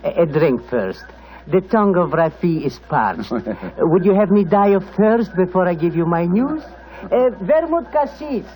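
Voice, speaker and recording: An oily, high-pitched scoundrel. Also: male voice, very animated, normal speed, mellow. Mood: wheedling. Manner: oily, haggling, tags questions with "no?". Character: greedy, wheedling scoundrel cafe proprietor and informant. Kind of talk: 1940s radio acting. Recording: dull old-time radio recording.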